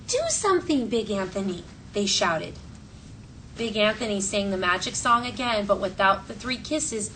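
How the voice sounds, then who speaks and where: A woman teacher reading a story aloud to children in an expressive, clearly phrased way and talking to the class. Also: mid-range voice. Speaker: elementary school teacher. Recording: distant mic, faint classroom noise.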